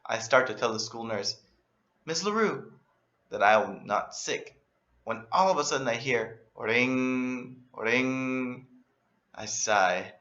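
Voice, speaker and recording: A man reading a children's story aloud in an animated, expressive way, as a storyteller. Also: on the low side, moderate pace, highs cut off. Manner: expressive, acts out emphasis and exclamations.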